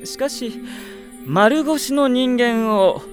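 An actor playing a scheming, cruel young sorcerer with mockingly polite, unhurried speech in a Japanese radio drama. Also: male voice, extremely high pitch, full character voice. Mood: mocking, calm. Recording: drama production with music behind.

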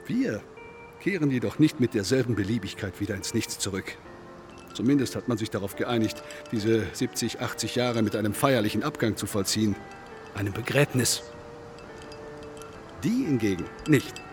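An actor in a radio play playing a self-absorbed congress guest who philosophizes in a pompous, long-winded, declamatory way. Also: male voice, on the low side, normal speed, music in the background, lightly acted. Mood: grandly pondering. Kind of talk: German radio play acting.

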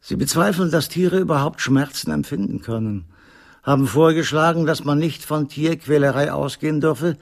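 Creaky, dry old scientist. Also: male voice, fairly high pitch. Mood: dry irony. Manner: dry, ironic, measured. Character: ageing, sardonic, lonely scientist, hospitable and resigned. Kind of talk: German radio play acting.

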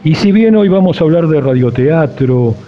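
A man with an Argentine accent hosting a radio interview, speaking in measured, elaborate, reflective phrases. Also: fairly high pitch, lively intonation. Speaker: radio host. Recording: dull lo-fi sound, faint music behind.

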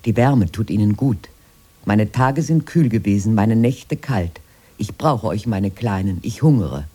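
An actor playing a grown sibling who retells a grim fairy-tale childhood in a radio drama, dramatic and insistent. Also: male voice, lowish voice, animated, unhurried, warm tone, slightly rough. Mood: quoting, needy.

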